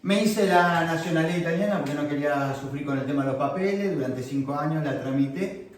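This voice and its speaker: Argentine man as guest speaker, slightly high voice, conversational and joking, heard from a distance in a very echoey hall. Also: fairly quick, slight background noise. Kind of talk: informal lecture to an audience. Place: meeting hall.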